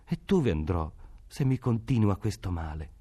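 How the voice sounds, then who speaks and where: A man's voice: lowish voice, animated, normal speed, mellow, slightly rough. A man voicing a poor, desperate peasant mother with asthma, pleading anxiously. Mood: worried.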